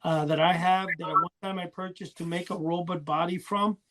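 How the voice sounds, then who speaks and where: A man's voice: high voice, flat delivery, brisk, crowd noise. A man talking as a podcast co-host, reminiscing casually in a chatty, rambling way full of small details. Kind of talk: casual rambling reminiscence about old toys.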